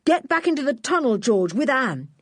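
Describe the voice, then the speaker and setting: A woman voicing a plucky boy who shouts orders to the others. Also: sits high, very animated, moderate pace, no echo, studio quality, voice pushed up, exaggerated voice. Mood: urgent.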